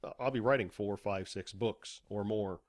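A man's voice: lowish voice, moderate pace, no echo. A man talking casually as an author guest in an interview, explaining things with acted-out examples.

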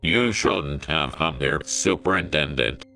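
A man's voice: deep voice, animated, creaky, pushed and bright, soft background music, full character voice. A man voicing a sinister, scheming male school principal with a harsh but wet voice, speaking ominously. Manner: ominous, slow and menacing.

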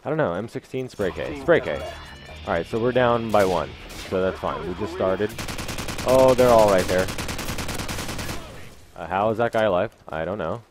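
Low-voiced brash young man gaming. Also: animated, normal speed, pauses often, over music. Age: young adult. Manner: brash, foul-mouthed. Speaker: gaming video host. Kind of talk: casual live gameplay commentary. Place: small room indoors. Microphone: gaming headset mic. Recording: loud game gunfire behind voice.

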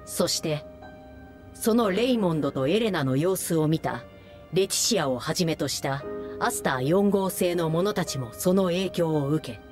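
A cool artificial research being. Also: female voice, deep voice, animated, a little mellow. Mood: detached. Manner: measured, detached, formal. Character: calm formal artificial research being, female. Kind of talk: video game cutscene voice acting. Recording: game audio with music underneath. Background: game soundtrack music.

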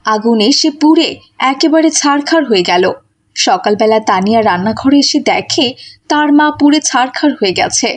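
A woman's voice: on the high side, expressive, normal speed. A woman reading scripted narration for a cartoon story as its narrator.

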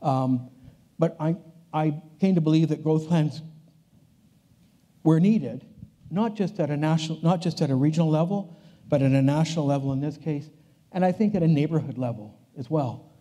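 Older man with a dark, mellow voice. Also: fairly high pitch, moderate pace, long pauses. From Canada. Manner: conversational, restarts phrases, walks the stage. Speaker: keynote speaker. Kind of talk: conference keynote lecture. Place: large conference hall stage.

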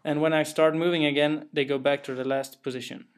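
A young developer from Sweden, his English carrying a clear Swedish accent, talks through his own game with an untheatrical, matter-of-fact delivery. His voice sits a touch higher than most men's, and he leans on small fillers and careful phrasing as he explains. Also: level tone, a little fast. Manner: careful, plain, a little hesitant with fillers. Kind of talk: narrated gameplay walkthrough.

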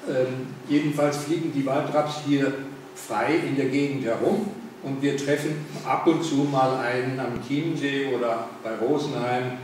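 An older man with a Berlin accent gives a relaxed public lecture, dropping fillers and turning to the audience with questions. He stands well back from the camera, so his voice comes across thin and distant. The room rings with heavy echo, and a steady background hiss sits under him. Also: mid-range voice, a little slow. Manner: conversational, with frequent fillers and asides to the audience. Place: large indoor meeting room. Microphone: far camera, no mic.

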